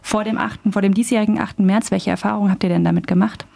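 A woman's voice: mid-range voice, steady tone, creaky, dark tone. A woman radio host reading a report and interviewing a guest in the studio. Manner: measured, scripted delivery.

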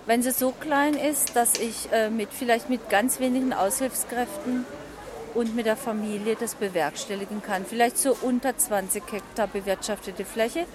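A woman's voice: on the high side, normal speed, noisy background. A woman farmer and market seller answering interview questions informally at her outdoor stall. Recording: busy outdoor market chatter.

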